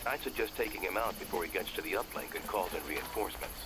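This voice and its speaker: A man playing a brisk, dry mission handler giving instructions in a video game. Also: sits low, background noise. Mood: dry, instructive. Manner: businesslike, dry, urgent instructions.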